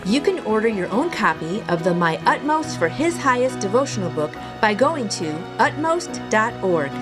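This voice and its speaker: A woman reading a devotional in an earnest, conversational way, with casual asides. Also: medium pitch. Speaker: devotional host and reader.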